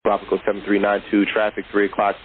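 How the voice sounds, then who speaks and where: Fast-talking male controller on radio. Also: lowish voice, completely flat delivery. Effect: radio transmission. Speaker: air traffic controller. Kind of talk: rapid radio instructions in clipped aviation phraseology. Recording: tinny air traffic radio with hiss.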